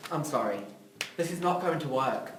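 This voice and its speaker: Exasperated writer brother male actor, complaining and insistent, lively intonation, roomy off-mic sound. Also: a little high, normal speed. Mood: bitter, accusing. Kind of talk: script reading of a drama. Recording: echoey room, distant, faint noise.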